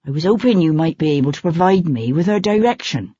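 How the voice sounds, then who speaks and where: A narrator voicing a young ex-soldier man posing as a timid, apologetic gentleman, every sentence rising like a question. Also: mid-range voice, animated, quick pace, breathy edge, dry recording. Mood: meek, diffident. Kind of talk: audiobook character voice. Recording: slightly dull, dry lo-fi recording.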